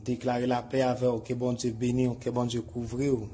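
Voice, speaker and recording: A man's voice: medium pitch, completely flat delivery, moderate pace, dark tone. A Haitian man, a native Creole speaker, praying as a preacher in declarative, repetitive, incantatory phrases. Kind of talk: bedtime deliverance prayer, declarative. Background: music.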